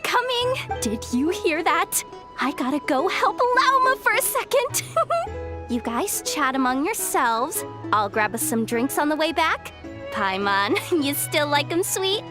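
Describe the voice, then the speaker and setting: A woman's voice: sits high, big pitch swings, a little slow. A woman voicing a friendly, bubbly girl in a video game, with a casual, obliging manner. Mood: breezy, obliging. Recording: background music.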